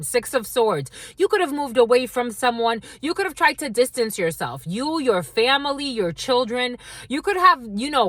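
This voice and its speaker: A woman's voice: on the high side, a bit bright, dry recording. A woman doing a channeled tarot reading, rambling from one intuitive impression to the next and speaking straight to her viewers. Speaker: tarot reader.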